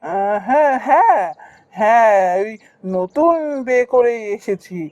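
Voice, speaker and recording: A woman's voice: on the high side, expressive, measured pace, a little mellow, no echo. Woman voicing a hesitant, courteous young cartoon husband. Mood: polite. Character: young newlywed husband, hesitant, polite, hopeful food-stall owner. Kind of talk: Bengali cartoon story dialogue. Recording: dull cartoon audio with music.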